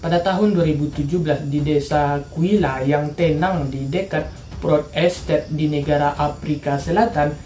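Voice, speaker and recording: Mellow-voiced woman narrating true crime casually. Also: sits low, moderate pace, roomy sound, slight background noise. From Indonesia. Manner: informal slangy narration.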